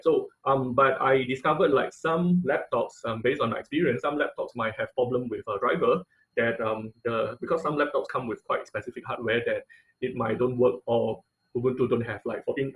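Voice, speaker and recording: A man teaching an online class in an informal lecture style, with halting, hesitant phrasing and repeated words, speaking over a video call. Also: mid-range voice, fairly bright tone, low-quality recording. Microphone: computer mic on a video call.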